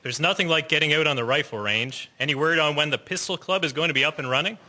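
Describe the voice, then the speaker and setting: A man's voice: on the high side, fast talker, sharp tone, a little hiss or hum. A young man playing an eager airman in a historical audio drama. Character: eager young airman, proud gun owner, later alarmed.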